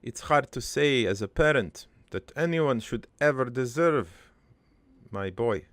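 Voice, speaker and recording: A man playing a crude but wistful old dead father in a cartoon, rambling through his life story in a halting, gravelly, improvised monologue. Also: mid-range voice, animated, dry recording, lightly acted. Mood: fond, sly. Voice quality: gravelly, creaky.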